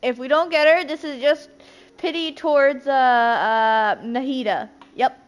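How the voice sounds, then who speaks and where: A woman giving casual live commentary as a game streamer, with an excitable, dramatic manner. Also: high-pitched, measured pace, highs cut off. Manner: excitable, dramatic, pleading exclamations.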